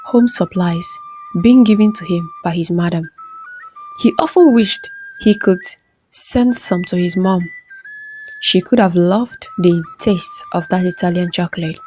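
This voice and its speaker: A woman's voice: on the low side, darkish tone. A woman narrating a scripted dramatic story as a storyteller. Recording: music under the voice. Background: background music.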